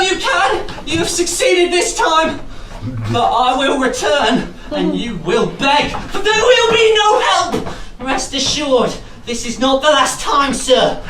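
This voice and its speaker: An actor playing a foolish, lovelorn young knight and poet in a costume comedy, indignant and overwrought. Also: male voice, very high-pitched, sing-song, takes it slowly, bright, forceful tone, heavily acted. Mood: wounded, vengeful. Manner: blustering, overwrought. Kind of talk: theatrical costume comedy in rhyme. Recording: echoey room, recorded from far off.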